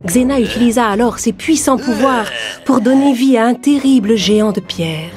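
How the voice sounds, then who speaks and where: A woman's voice: on the high side, moderate pace. A woman narrator reading a scripted fairy tale for children. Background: background music.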